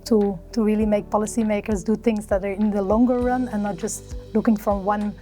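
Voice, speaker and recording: A woman with a mellow, dark-toned voice who speaks earnestly and a little hesitantly as a conference participant. Faint music plays underneath her. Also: medium pitch, little pitch movement, a little fast. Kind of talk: interview remarks.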